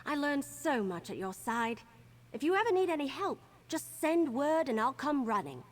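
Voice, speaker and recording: An actress playing an eager, earnest young adventurer in a video game, with a bubbly, youthful delivery. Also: female voice, high voice, sing-song, moderate pace. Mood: grateful, eager. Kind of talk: video game character dialogue.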